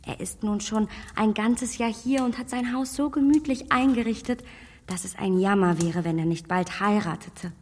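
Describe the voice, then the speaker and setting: A woman playing a self-assured young gentlewoman in a radio play, confident and playful as a meddling matchmaker. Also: mid-range voice, moderate pace, long reverb tail, natural voice. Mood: eager, scheming.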